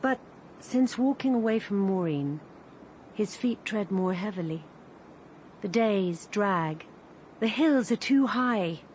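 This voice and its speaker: A woman narrating a radio drama in a measured storytelling way. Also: medium pitch, expressive, darkish tone, a little hiss or hum. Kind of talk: scripted narration.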